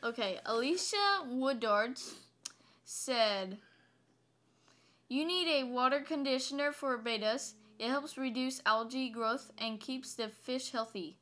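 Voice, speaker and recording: Woman vlogger, deep voice, slow and hesitant, many ums; reads comments in casual chat. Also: a bit bright. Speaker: vlogger reading viewer comments. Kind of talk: casual home video chat.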